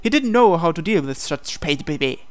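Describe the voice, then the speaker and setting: Male narrator for a visual novel read-aloud, slightly high register, smooth clean tone, dramatic scripted delivery. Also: animated, moderate pace.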